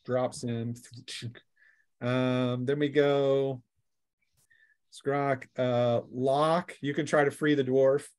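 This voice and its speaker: A man narrates a tabletop game as its game master, with a medium-pitched voice recorded close and dry. His delivery is casual and playful, full of colloquial phrasing. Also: flat delivery, very slow, pauses often, a little mellow, clear, resonant tone, no echo.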